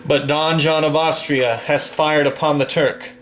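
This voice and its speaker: A man reciting a long poem from memory in a slow, declamatory manner, swelling on the refrains, then chatting casually about a game. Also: fairly high pitch, steady tone, fairly bright tone, rough recording. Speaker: poetry reader and gamer.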